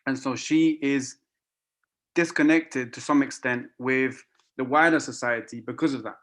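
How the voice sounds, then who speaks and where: A man whose first language is Spanish speaks over a video call in a flat, level voice. He is hesitant, with frequent fillers and restarts, and his pitch stays close to the middle. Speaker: meeting participant. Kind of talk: casual contribution to an online council meeting.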